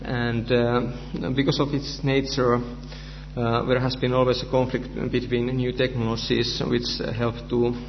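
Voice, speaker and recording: Halting Finnish-accented man lecturing. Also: mid-range voice, flat delivery. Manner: halting, many fillers and restarts in a non-native language. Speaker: conference presenter, researcher. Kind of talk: conference lecture. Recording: dull, reverberant hall recording with faint hum.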